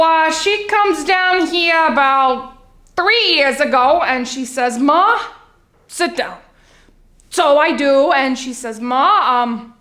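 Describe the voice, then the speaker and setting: A woman's voice: high-pitched, fairly bright tone, full character voice. A woman playing a chatty middle-aged Long Island housewife and well-meaning mother in a confiding, rambling comic stage monologue. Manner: confiding, rambling, hesitant asides. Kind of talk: theatrical comic monologue, storytelling to a listener. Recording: echoey room.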